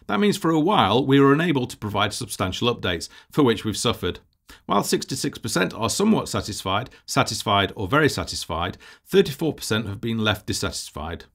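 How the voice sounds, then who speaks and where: A man with a slightly low voice narrates a prepared presentation. His intonation rises and falls in a lively way, while his delivery stays measured and formal. He sounds like a project lead giving a businesslike report.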